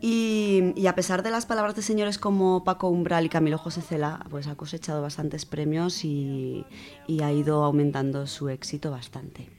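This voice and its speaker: A woman's voice: deep voice, level tone, moderate pace, a little mellow, soft background music. A woman with an accent from Spain presenting a literary radio segment in a conversational, enthusiastic, semi-scripted way.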